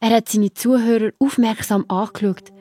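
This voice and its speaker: A woman's voice: on the high side, fairly even intonation, moderate pace, dark tone, slightly rough, dry recording, studio quality. A woman with a Swiss German accent narrating a scripted story for children, speaking directly to the listener.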